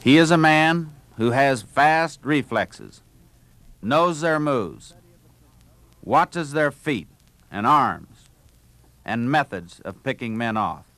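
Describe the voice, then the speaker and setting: A man as a matter-of-fact baseball instructor, explaining in a deliberate instructional style. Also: mid-range voice, animated, fairly bright tone, dry recording. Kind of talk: instructional talk.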